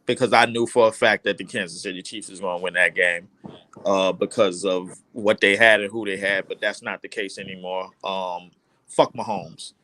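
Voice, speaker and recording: A man's voice: low voice, steady tone, normal speed, bright, forceful tone, low-quality recording. A man hosting a casual sports podcast, talking bluntly with profanity and repeating himself when he gets going. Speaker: podcast host.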